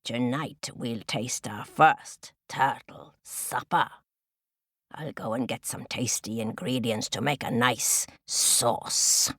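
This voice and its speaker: A woman voicing an angry, bossy male crow in a children's story, rasping and scolding with menace. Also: very deep voice, fairly even intonation, takes it slowly, fairly bright tone, dry recording, clean studio sound, pitched below the performer's own voice, heavily acted. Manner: cawing, scolding, threatening.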